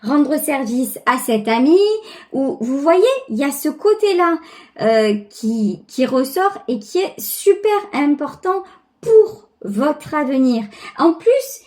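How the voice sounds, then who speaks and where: Female tarot reader, high voice, unhurried delivery, conversational and reflective, addresses the viewer directly. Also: animated, a little mellow. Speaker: tarot reader, channel host. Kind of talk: intuitive tarot reading, spoken directly to the viewer.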